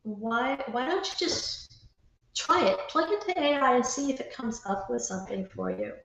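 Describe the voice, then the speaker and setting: A woman guest in a casual conversation, explaining her thoughts haltingly, with pauses between phrases. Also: sits high, sing-song, gravelly, a bit bright. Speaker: interview guest, writer. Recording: very echoey, roomy sound.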